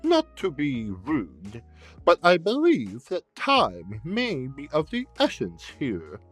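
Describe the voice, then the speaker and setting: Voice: mid-range voice, huge pitch swings, slow, husky, soft background music, exaggerated voice. An actor playing a fussy, precise pedant in an audio drama. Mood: polite, urgent.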